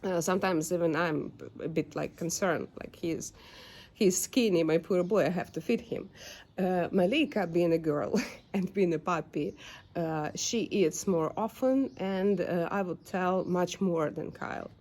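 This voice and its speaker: A young woman with a Ukrainian accent talks slowly and unhurriedly in a casual home vlog. Her voice is soft and mellow, and she pauses often with fillers while searching for her English words. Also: lowish voice. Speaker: dog owner vlogger. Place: home backyard.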